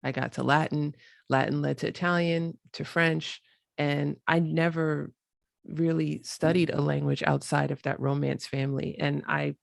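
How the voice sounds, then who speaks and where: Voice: mid-range voice, flat delivery, normal speed, vocal fry, no room sound, studio quality. An American podcast host asking questions eagerly, restarting phrases as thoughts form. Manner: enthusiastic, self-correcting restarts. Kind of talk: interview questions, casual chat.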